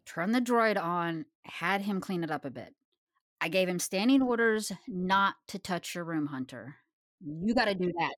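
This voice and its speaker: A woman's voice: lowish voice, lively intonation, unhurried, no echo, studio quality. A woman playing a tough, blunt, regretful woman of the space underworld, confrontational, in a sci-fi audio drama. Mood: wry.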